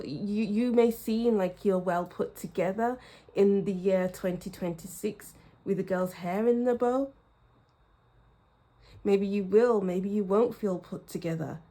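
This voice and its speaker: A woman reads tarot for her viewers at an unhurried, drawn-out pace. Her tone is soft and mellow, reflective, and she repeats phrases for emphasis. Her throat sounds slightly croaky. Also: mid-range voice. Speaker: tarot reader. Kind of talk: pick-a-card tarot reading.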